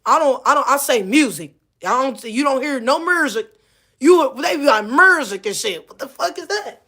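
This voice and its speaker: A woman's voice: sits high, expressive, moderate pace, bright, forceful tone. A woman with a Missouri accent, ranting casually to camera, heated and profane, repeating herself. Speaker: vlogger.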